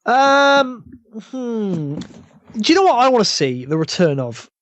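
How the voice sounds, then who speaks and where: Male podcast panelist, fairly high voice, very dry recording, blunt and opinionated casual talk. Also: big pitch swings, takes it slowly, a bit bright, no echo. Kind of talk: casual gaming podcast chat.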